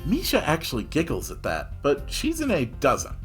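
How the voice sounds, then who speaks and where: A man reading a visual novel aloud as host, with theatrical, expressive first-person story narration. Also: a little high, moderate pace, faint music behind.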